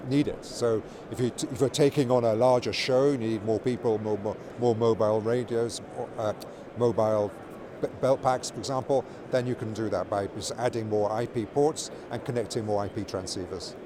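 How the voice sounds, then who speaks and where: Middle aged guy at a noisy trade show explaining stuff in an interview, normal pitch, keeps repeating words. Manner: explanatory, often repeats words and restarts phrases. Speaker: company product executive being interviewed. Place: busy trade show exhibition hall. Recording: loud trade show floor noise. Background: clear ambient show floor noise.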